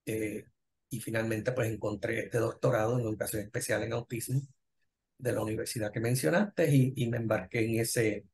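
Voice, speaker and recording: A man's voice: on the low side, fairly even intonation, normal speed, darkish tone. A middle-aged man with a Puerto Rican accent, a professor speaking conversationally as an interview guest about his own working life. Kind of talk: conversational personal career account.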